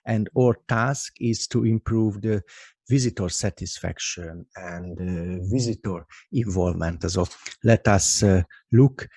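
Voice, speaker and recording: A man with a Hungarian accent giving a webinar presentation over a video call, halting and full of "uh" and "also" fillers. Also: on the low side, a little mellow, breathy edge, no echo. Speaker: guest expert presenter. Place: office with company logo behind him.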